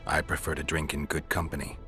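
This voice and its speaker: A wry mercenary monster hunter in a fantasy game. He is terse and deadpan in a very deep voice, with faint music underneath. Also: fast. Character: stoic, wry monster-hunting mercenary witcher. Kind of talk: video game voice acting. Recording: music behind the voice.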